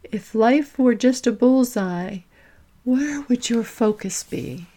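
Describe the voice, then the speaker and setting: A woman leading a guided reflection in the manner of a podcast host, gentle and deliberate, with long reflective pauses. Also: on the high side, lively intonation.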